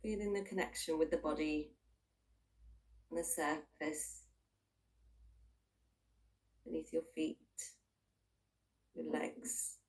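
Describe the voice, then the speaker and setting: A woman leading a guided body scan meditation, giving gentle instructions in unhurried, invitational phrases with long pauses. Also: low-pitched, steady tone, creaky, recorded from a distance, rough recording.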